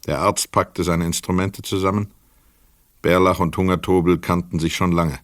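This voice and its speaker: A man with a Swiss German accent narrating a radio play in a measured, sober way. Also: sits low, level tone, no echo, studio quality.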